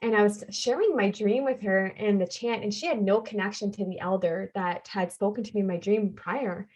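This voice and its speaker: A woman speaks as a podcast guest, recounting her life in a heartfelt, earnest way. She leans on repeated phrases to drive her points home, in a voice of middling pitch. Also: moderate pace, darkish tone, rough recording. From Canada. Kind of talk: personal storytelling in a conversation.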